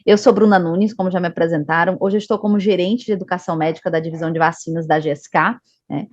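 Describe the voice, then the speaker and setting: A woman's voice: mid-range voice, no echo, muffled recording. A woman from Salvador with a Northeastern Brazilian Bahia accent, giving an online health presentation on a video call. She explains patiently and keeps adding the tag question "né". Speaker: medical education manager presenting.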